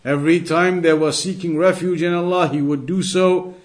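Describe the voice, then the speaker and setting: A man giving an Islamic lesson, reading texts aloud and explaining them deliberately, repeating phrases for emphasis. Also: on the high side, steady tone. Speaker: religious teacher.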